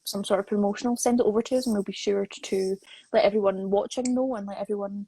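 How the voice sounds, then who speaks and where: A woman hosting a casual live interview, playful and encouraging, with a husky voice. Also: medium pitch, fairly even intonation, fast talker, dark tone, dry recording, rough recording. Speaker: host, associate producer.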